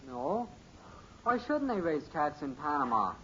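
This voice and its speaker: An actor playing an earnest, stubborn and gullible teenage son in a 1950s radio sitcom, with boyish, stammering protests. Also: male voice, fairly high pitch, big pitch swings, a little slow, a bit bright. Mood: earnest, defensive. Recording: muffled, hissy old radio recording.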